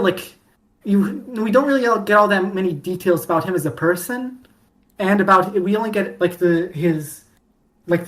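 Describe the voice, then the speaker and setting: A woman co-hosting a podcast, chatting casually about fandom in an animated storytelling way with mock-dramatic emphasis. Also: fairly low pitch. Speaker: podcast co-host.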